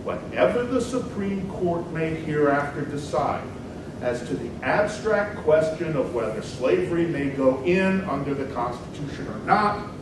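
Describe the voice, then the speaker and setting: A combative 19th-century senator acted out by a man declaims in a high-pitched voice, formal and emphatic. It is a dramatic reading of a stump debate speech, picked up at a distance in a very reverberant hall with clear rumbling noise. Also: expressive, unhurried. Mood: firm, declaring.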